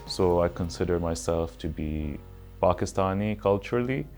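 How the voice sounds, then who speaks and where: A man in his late twenties giving thoughtful, measured interview answers with some fillers. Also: deep voice, a little mellow, faint music behind. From Switzerland. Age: late 20s. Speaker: interview guest.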